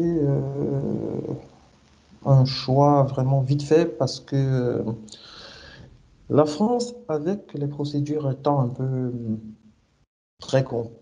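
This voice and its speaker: A man from Cameroon talking through his career in an interview. He speaks very slowly and hesitantly, pausing on fillers and restarting phrases. His voice is very dark and mellow. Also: mid-range voice. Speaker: SAP consultant guest. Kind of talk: interview answers.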